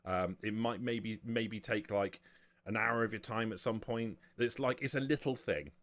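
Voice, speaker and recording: A man narrating and explaining a tabletop roleplaying game as game master, halting and often rephrasing as he goes. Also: on the low side, fairly bright tone.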